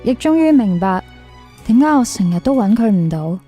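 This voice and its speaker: A woman's voice: a little high, sing-song, moderate pace, dark tone, husky. A woman playing an insecure, lovelorn young woman in a radio drama, plaintive and questioning, with a Hong Kong Cantonese accent. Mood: heartbroken. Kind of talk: radio drama acting with confessional narration. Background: music under the voice.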